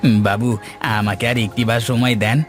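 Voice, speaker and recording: A poor indebted villager acted by a man in a cartoon fable. He has a medium-pitched voice, humble and hesitant, pleading deferentially, with soft music behind. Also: little pitch movement, brisk, lightly acted. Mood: meek, hesitant. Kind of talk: cartoon moral-story dialogue.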